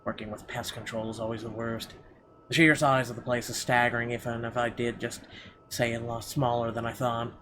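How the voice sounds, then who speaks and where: Casting: male game streamer, mid-pitched, halting read-aloud narration with casual asides, clearly noisy recording. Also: flat delivery. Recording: clearly audible background noise.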